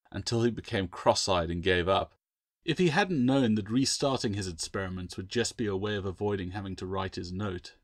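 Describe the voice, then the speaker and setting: A man's voice: low-pitched, no room sound, clean studio sound. A man reading a story aloud as scripted audiobook narration. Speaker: author reading own novel.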